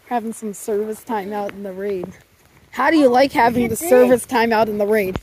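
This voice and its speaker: A woman's voice: fairly high pitch, brisk, crowd noise, low-quality recording. A young woman narrating a casual handheld vlog outdoors at night in the rain, chatty and giggly as she describes what she films. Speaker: vlogger filming outdoors. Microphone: handheld camera mic.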